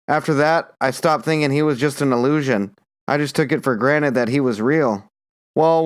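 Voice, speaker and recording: Guy playing a folksy young soldier telling a tall tale, rambling and polite, smooth and a bit high. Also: lightly acted. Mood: wistful. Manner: rambling, drawling, deferential. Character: folksy young male rebel soldier spinning tall tales.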